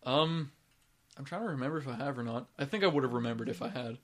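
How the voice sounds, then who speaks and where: A man's voice: medium pitch, quick pace. A man co-hosting a casual chat, rambling loosely and swearing freely. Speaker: co-host.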